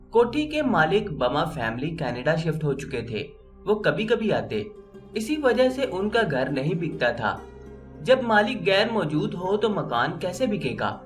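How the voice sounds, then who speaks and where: A man narrates a scripted story in a notably high voice. His intonation is very animated, moving freely up and down as he reads. Music plays behind his narration. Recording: music under the voice. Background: background music.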